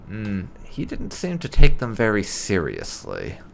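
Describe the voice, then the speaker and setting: A man's voice: lowish voice, wildly swooping pitch, unhurried, gravelly, slight background noise. A man hosting a roleplay playthrough of a game, commenting in a wry, opinionated way. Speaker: gaming channel host.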